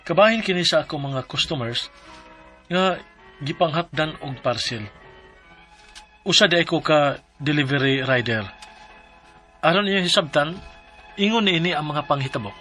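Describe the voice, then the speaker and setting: A man's voice: fairly high pitch, normal speed, pauses often, pushed and bright, faint music behind. A man in his twenties narrating a radio drama and playing a polite delivery rider. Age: 20s. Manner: polite, deferential. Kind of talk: dramatic reading.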